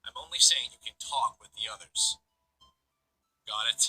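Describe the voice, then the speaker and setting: An actor playing a stern, wry male police detective in a visual novel game, with a husky voice and firm, matter-of-fact authority. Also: mid-range voice, moderate pace, pushed and bright, faint music behind, poor audio. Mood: stern, measured.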